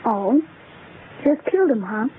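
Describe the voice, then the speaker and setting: A performer playing an innocent-sounding, eerily calm young boy in a radio horror play, casual and matter-of-fact. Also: medium pitch, a little slow, noisy background. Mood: eerily unbothered. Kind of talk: old-time radio horror acting. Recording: tinny phone-like radio drama with music.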